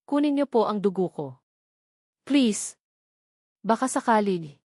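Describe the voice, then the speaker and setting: A woman narrator playing a timid but determined young woman in a story, softly pleading. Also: medium pitch, lively intonation, a little slow, no room sound, studio quality. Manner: soft, pleading.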